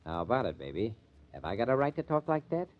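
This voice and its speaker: An actor playing a blunt, scornful club owner who talks in sarcastic tough slang in a 1950s radio drama. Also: male voice, on the low side, very animated, fast talker. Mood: taunting, contemptuous. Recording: dull lo-fi old radio recording.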